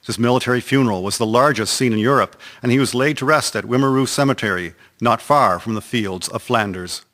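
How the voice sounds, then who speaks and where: A man's voice: medium pitch, a little fast, fairly bright tone. A man narrating a historical radio drama from a script in a solemn, formal storytelling manner. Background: music.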